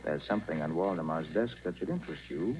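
An actor playing a shrewd, self-assured private detective in a 1940s radio drama, speaking crisply and with command. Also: male voice, low-pitched, expressive, fast talker. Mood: casual, probing. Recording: muffled, noisy 1940s radio recording.

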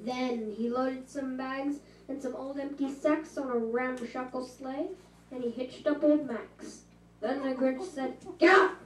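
A woman reading a rhyming story aloud at a school shadow puppet show, slow and rhythmic. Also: sits high, steady tone, mellow, slight background noise. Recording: echoey room from far off.

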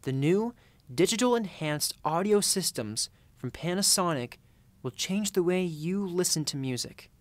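A young man performing commercial voiceovers in a studio, with a hushed, intimate and measured delivery. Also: high-pitched, expressive, no room sound. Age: young adult. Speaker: voice actor. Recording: music bed behind. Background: music beds.

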